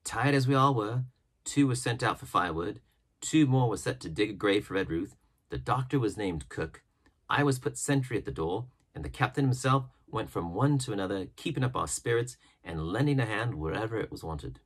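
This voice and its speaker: A man with a husky voice narrating a classic adventure novel as an expressive storybook reading. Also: on the low side, a little fast. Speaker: audiobook narrator.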